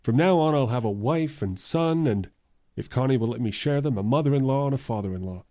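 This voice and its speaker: A man plays an earnest, well-mannered lawyer in a radio drama. His middle-pitched voice is soft-edged and mellow, with formal, careful phrasing. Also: lively intonation, lightly acted. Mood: heartfelt. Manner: formal, measured, courteous. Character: polite, formal male lawyer and earnest fiancé. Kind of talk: old-time radio drama acting.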